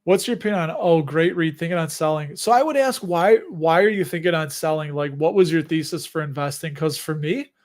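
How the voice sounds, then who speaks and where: A man's voice: fairly high pitch, brisk, hardly any pauses. A middle-aged man with a Chicago accent hosts a casual investing livestream, answering viewer questions in a rambling, chatty way and laughing at his own asides. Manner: rambling and chatty, with frequent restarts and self-amused laughs. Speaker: host of a dividend investing livestream.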